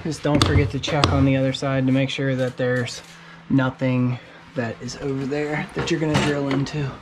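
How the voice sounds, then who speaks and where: A man's voice: medium pitch, level tone, moderate pace. A young man casually walking through a basement repair step by step as he works, filmed on a head-mounted camera in an unfinished basement. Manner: plain-spoken, explains steps as he works. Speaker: DIY home repair host. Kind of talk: casual how-to narration while working. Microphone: head-mounted action camera. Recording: noisy basement work site.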